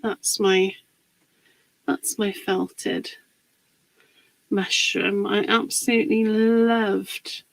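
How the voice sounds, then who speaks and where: A woman hosting a casual live craft demonstration, a little husky from a cold, chatting and greeting viewers by name. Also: medium pitch, little pitch movement, extremely slow, pauses often, rough recording. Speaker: craft livestream host.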